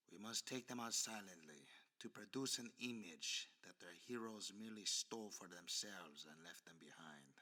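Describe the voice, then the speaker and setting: Man acting a suave, crisp-edged aristocratic villain. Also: fairly low pitch, pushed and bright. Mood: haughty, scheming. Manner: formal, florid, smoothly condescending speech. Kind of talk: ASMR roleplay audio drama acting.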